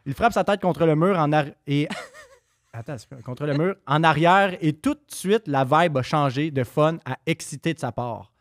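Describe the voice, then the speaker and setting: A man, co-hosting a podcast, telling a story in a lively way and laughing often. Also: a little high, normal speed, dry recording, studio quality. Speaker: podcast co-host. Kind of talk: casual chat.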